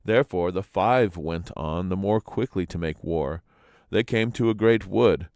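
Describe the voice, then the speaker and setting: A man narrating legends as a storyteller, in a dramatic, expressive reading. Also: low voice, measured pace, no echo, studio quality.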